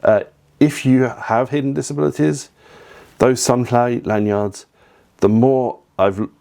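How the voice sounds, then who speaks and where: A man's voice: mid-range voice, fairly even intonation, unhurried, creaky, dark tone. A man giving casual family travel advice, chatty and opinionated, with frequent ums and asides. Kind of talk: casual advice talk to camera.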